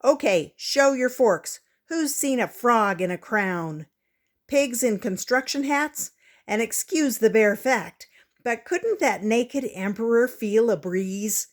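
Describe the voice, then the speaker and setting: A woman's voice: a little high, expressive, slow pace, pitched above the performer's own voice. Woman reading as an outspoken, scornful little schoolgirl. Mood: defiant, declaring. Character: outspoken, scornful schoolgirl who scoffs at fairy tales.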